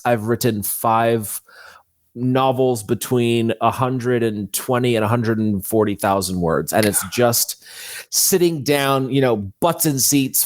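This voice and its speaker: A man with a mid-pitched voice talks as an interview guest. He is informal and explains things in a casual, conversational way. The recording is close and dry. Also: no echo. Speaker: guest, fantasy author.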